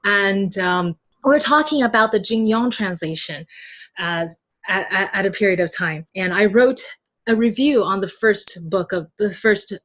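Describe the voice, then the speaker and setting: A chatty woman speaking casually as an interview guest, quick to laugh, explaining her work as a translator. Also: mid-range voice, fairly bright tone, muffled recording. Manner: chatty, laughs readily while talking. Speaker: podcast guest, web novel translator.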